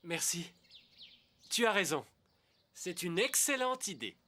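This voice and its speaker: A man's voice: high voice, big pitch swings, slow pace, bright, forceful tone, a little hiss or hum, heavily acted. A young man playing a kind, polite, curious prince in a French cartoon dub. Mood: grateful.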